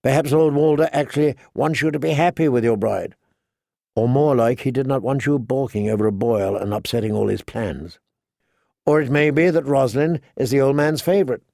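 A man voicing a composed, sober noblewoman who speaks in a measured, formal, cautioning way in an audiobook. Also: medium pitch, vocal fry, warm tone, no echo, clean studio sound, lightly acted. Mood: reasoning, dry. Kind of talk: audiobook character dialogue.

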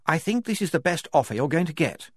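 A man voicing a brisk, reasonable army major who speaks politely and persuasively in an audiobook. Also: fairly high pitch, very animated, husky, dry recording, studio quality, voice pushed up. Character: crisp, reasonable male army major, pragmatic legal officer.

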